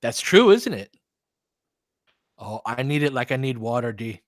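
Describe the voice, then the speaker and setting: A man's voice: medium pitch, big pitch swings, measured pace, dry recording, studio quality. A man doing casual live basketball commentary and chatting with viewers, informal and slangy with some swearing. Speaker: host of a fan watch-along.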